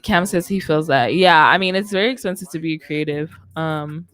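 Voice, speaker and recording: A woman's voice: sits low, normal speed, fairly bright tone, smooth. A young woman hosting a radio show, chatting casually and informally in a loose, rambling way with plenty of slang. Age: young adult. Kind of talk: casual podcast chat. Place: indoor studio with curtains. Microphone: close studio microphone on boom arm, headset on.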